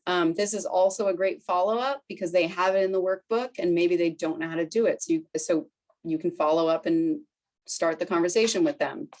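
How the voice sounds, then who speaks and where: A woman's voice: on the low side, steady tone, a little fast, darkish tone. Chatty Boston woman presenting to colleagues. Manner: chatty, conversational, fillers like "right?" and "like". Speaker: foundation director presenting to colleagues. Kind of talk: conference presentation.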